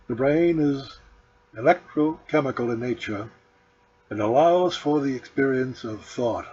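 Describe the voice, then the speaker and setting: A man reading his own spiritual book as a scripted audiobook, with solemn, deliberate, measured phrasing and pauses. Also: mid-range voice, darkish tone. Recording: dull lo-fi recording with background noise.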